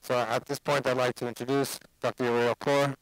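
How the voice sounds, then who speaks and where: A man's voice: mid-range voice, level tone, a little fast, gravelly, slight background noise, poor audio. A man, a rabbi, introducing a speaker with a personal story, using Yeshiva Hebrew terms. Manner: warm anecdotal, Yeshiva Hebrew phrases.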